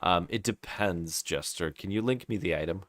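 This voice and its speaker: A man's voice: deep voice, moderate pace, no room sound. A man from Kazakhstan chatting casually as a game livestreamer giving advice, often repeating words as he thinks aloud. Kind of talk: casual live stream chat, advice and commentary.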